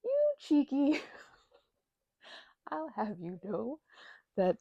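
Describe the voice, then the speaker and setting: Casting: actress as female roommate with a migraine, ASMR audio drama. Husky, dry close sound, chatty, rambling, playfully indignant. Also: sits high, wildly swooping pitch, very slow, no echo, highs cut off, heavily acted. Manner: rambling, hesitant, teasing, mock-offended. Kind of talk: intimate ASMR roleplay, improvised-sounding conversation.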